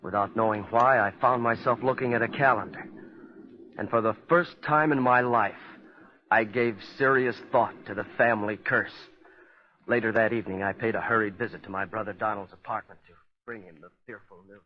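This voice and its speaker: A man's voice: on the low side, steady tone, natural voice. An actor playing a haunted man who tells of his family curse, earnest and dramatic in 1940s radio style. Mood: somber. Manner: earnest, dramatic, deliberate storytelling. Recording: muffled old radio recording with music.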